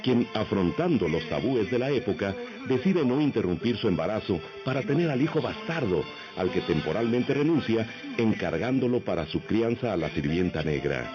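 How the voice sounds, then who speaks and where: A man's voice: lowish voice, sing-song, normal speed. A man reading scripted narration for a vintage radio drama, formal and solemn, on an old radio recording. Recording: muffled old radio recording, loud music. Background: loud music.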